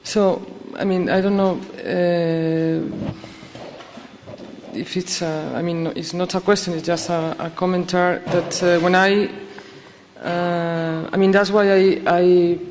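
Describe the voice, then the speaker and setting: A woman from Spain, speaking slowly in English at a conference and searching for words with many fillers and restarts. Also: lowish voice, fairly even intonation, a little mellow, slight background noise. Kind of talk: halting conference discussion. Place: conference hall. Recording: long reverb of a hall.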